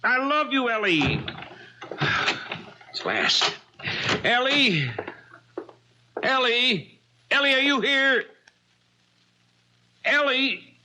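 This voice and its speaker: An actor with an American accent playing a frantic, stammering two-timing husband in a 1970s radio drama. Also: male voice, very high voice, sing-song, extremely slow, long pauses, background noise, full character voice. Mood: desperate, calling out. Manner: agitated, stammering.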